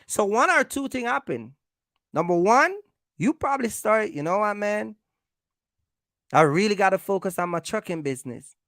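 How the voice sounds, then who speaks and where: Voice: medium pitch, animated, moderate pace, pauses often, a bit bright, no room sound, studio quality. A content creator delivering a casual, confrontational rant, repeating points and throwing out rhetorical questions. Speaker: YouTube content creator, trucking vlogger. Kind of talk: casual rant to camera, calling out rivals.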